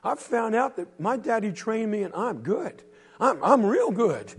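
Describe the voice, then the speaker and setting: Dude doing a stubborn kid fiddler, raised voice, gravelly, roomy hall. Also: male voice, high-pitched, very animated, a little slow, pitched above the performer's own voice, heavily acted. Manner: earnest, insistent. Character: stubborn young boy fiddler.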